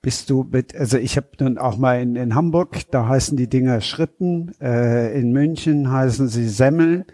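A man's voice: mid-range voice, normal speed, hardly any pauses, mellow. A man hosting a casual podcast, asking his guests jovial questions. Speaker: podcast host.

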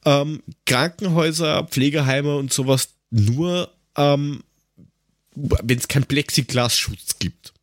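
A man from Lower Austria with an Austrian accent, chatting casually and sarcastically as a podcast co-host, given to ranting and crude jokes. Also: slow, no echo, clean studio sound. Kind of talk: casual chat.

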